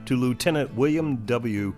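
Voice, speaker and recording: A man voicing a formal army adjutant who reads out official written orders in clipped, deliberate phrases. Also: medium pitch, darkish tone, pitched above the performer's own voice, close to an everyday voice. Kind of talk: reading a 19th-century military letter. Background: plucked guitar music.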